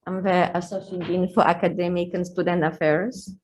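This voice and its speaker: A woman from Poland with a Polish accent testifying as an associate dean, her first language being Polish. She hesitates often and fills the gaps with fillers. Her voice sits somewhat low. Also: little pitch movement, normal speed, darkish tone. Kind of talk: hearing testimony.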